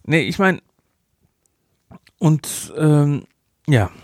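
Halting, dry-voiced male podcast co-host. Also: on the high side, big pitch swings, no echo. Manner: hesitant, many fillers and restarts, jumps in quickly. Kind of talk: casual review chat.

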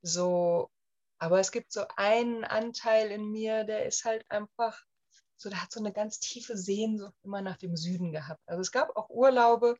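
A woman's voice: mid-range voice, normal speed. A woman from Germany in her thirties, chatting casually as an interview guest with lots of fillers. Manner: chatty, many fillers, lively retelling. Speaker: guest, emigrant. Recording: slightly dull lo-fi sound.

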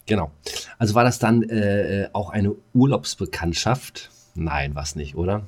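Low-voiced man in casual chat. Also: normal speed, a little mellow. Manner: repeats short phrases, hesitant delivery. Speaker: podcast co-host.

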